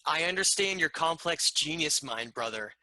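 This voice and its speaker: A man's voice: on the high side, lively intonation, moderate pace, bright, forceful tone, dry recording, low-quality recording. A man playing a principled, questioning alien goddess in a screenplay read-through, speaking formally and earnestly. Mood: respectful, questioning. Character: principled, questioning alien goddess sister, respectful yet challenging.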